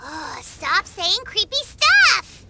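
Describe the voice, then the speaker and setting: A woman voicing a tiny, childlike fairy companion in an anime game, squeaky, expressive and easily spooked. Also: slow, exaggerated voice. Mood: indignant. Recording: clear background music under the voice.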